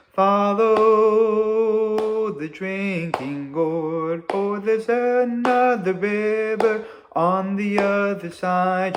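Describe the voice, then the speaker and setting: A man voicing an earnest peg-legged guide in a children's story, giving emphatic, drawn-out instructions. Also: high-pitched, background noise, pitched above the performer's own voice.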